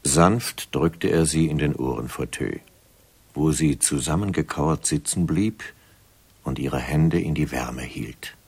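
An actor narrating a 1970s radio play as a grave, detached storyteller, husky and sober. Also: male voice, rumbling low, darkish tone, full character voice. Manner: slow, sober.

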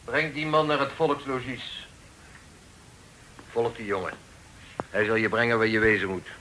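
A sharp, forceful pirate lord. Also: male voice, medium pitch, steady tone, unhurried, slight background noise, rough recording. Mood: cold, commanding. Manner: curt, commanding. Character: imperious pirate lord, stern brusque father. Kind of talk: Dutch radio drama acting.

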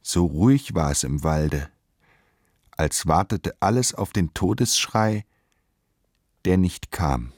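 A man narrates a literary radio play in a measured, solemn and deliberate manner. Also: low voice, lively intonation, a little mellow.

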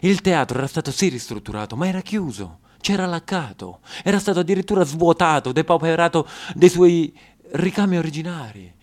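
A man's voice: fairly high pitch, lively intonation, a little fast. A man narrating a theatrical monologue on stage in a wry, storytelling manner with rhythmic lists. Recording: live theatre broadcast.

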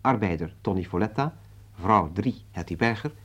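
A man announces for radio in a formal, scripted style. His voice is fairly low as he reads out the credits in an orderly way. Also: lively intonation, normal speed, breathy edge, highs cut off.

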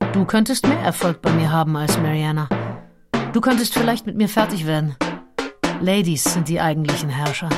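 An actress playing a self-assured, seductive nineteenth-century woman diarist, frank and intimate, in a radio play. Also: low-pitched. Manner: frank, matter-of-fact, intimate. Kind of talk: radio play, first-person diary reading.

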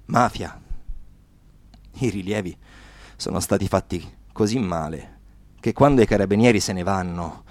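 A man narrating a radio theatre piece with dramatic delivery, moving between hushed and emphatic phrases. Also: on the low side, dry recording.

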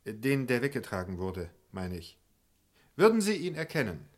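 Radio crime drama: probing male barrister, precise and insistent, very animated pitch movement. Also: normal speed. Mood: formal, probing. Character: formal, probing male courtroom lawyer questioning a witness. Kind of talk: German radio crime play.

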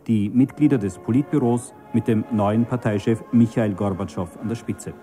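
A man's voice: fairly low pitch, normal speed, loud music behind the voice, close to an everyday voice. A man speaking as a solemn news correspondent, giving a formal report on state events.

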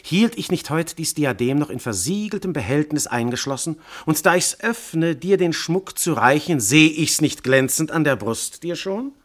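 An actor playing a majestic god posing as a tender husband, declaiming in a commanding, grand way in a German classical verse radio drama. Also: male voice, a little high, animated, moderate pace, hardly any pauses, fairly bright tone. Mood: coaxing.

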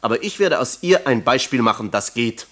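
An actor playing an earnest, dutiful East German factory official in a radio play, speaking in an official, insistent manner. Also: male voice, mid-range voice, expressive, a little fast, a bit bright. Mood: impatient, determined.

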